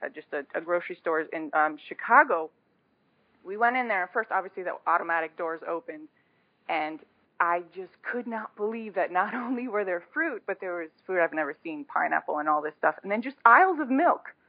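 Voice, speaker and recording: A woman's voice: lowish voice, lively intonation, brisk. A woman originally from Romania, telling personal stories casually as an interview guest. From born in Romania, moved to the United States aged seven. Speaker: podcast guest, small business co-founder. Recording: tinny, phone-like line with little echo.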